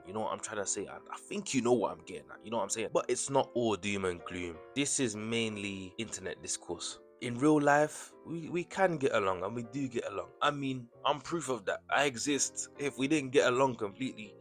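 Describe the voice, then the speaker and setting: Young man, casual commentary, conversational, soft guitar under it. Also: on the low side, brisk, hardly any pauses. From Kenyan and Jamaican family. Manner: conversational, frequent tag questions. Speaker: vlogger.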